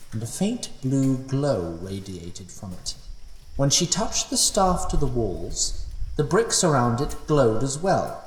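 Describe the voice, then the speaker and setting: A male narrator telling a fantasy tale with a lively, rising-and-falling pitch. He sounds a little distant from the mic, in a roomy, echoing space.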